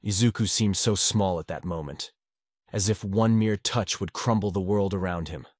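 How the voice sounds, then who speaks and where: Low-voiced man narrating fanfiction. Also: normal speed, a little mellow, dry recording, studio quality. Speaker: audiobook narrator.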